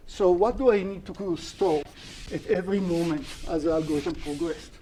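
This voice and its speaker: Man lecturing with a mellow voice. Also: high voice, moderate pace, a little hiss or hum, rough recording. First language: Hebrew. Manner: explaining step by step, with self-corrections and restarts. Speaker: university lecturer. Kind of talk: live-streamed algorithms lecture.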